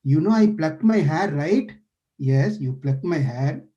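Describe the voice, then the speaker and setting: A man's voice: fairly high pitch, animated, measured pace, dark tone, lo-fi sound. A man voicing a frightened, hot-tempered old sage who pleads for help, in a devotional story. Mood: fearful, pleading. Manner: pleading, fearful.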